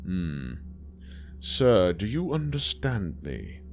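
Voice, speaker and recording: A man doing a prim, haughty old gentleman. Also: deep voice, huge pitch swings, slow pace, vocal fry, faint music behind, exaggerated voice. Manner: fussy, clipped, formal questioning.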